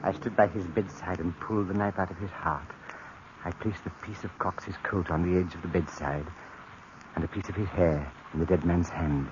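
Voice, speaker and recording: An actor playing a cunning, deranged murderer, confessing in a theatrical, brooding, repetitive 1940s radio-drama style. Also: male voice, sits low, steady tone, normal speed, close to an everyday voice. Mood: tense, secretive. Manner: theatrical, brooding, obsessively repeating himself. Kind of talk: 1940s radio acting, first-person narration. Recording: noisy muffled old radio recording.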